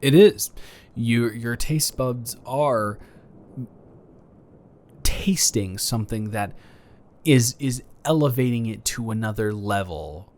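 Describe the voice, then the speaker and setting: A man's voice: mid-range voice, animated, takes it slowly, pauses often, darkish tone. A man improvising a romantic audio roleplay as a flirty, playfully boastful neighbor, talking straight to the listener in a teasing, stammering, rambling way. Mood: teasing, sincere.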